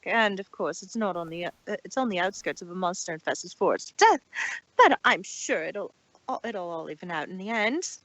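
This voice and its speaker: A woman's voice: medium pitch, a bit bright, no echo, pitched above the performer's own voice, close to an everyday voice, rough recording. A woman voicing an elegant adult female pony fashion designer with an airy, rambling, theatrical manner in a story reading.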